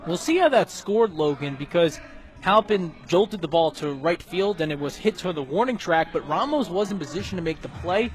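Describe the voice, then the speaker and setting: A man's voice: high-pitched, lively intonation, normal speed. A man doing live baseball radio commentary as the analyst, in a conversational, analytical way. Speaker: color commentator and scoreboard announcer.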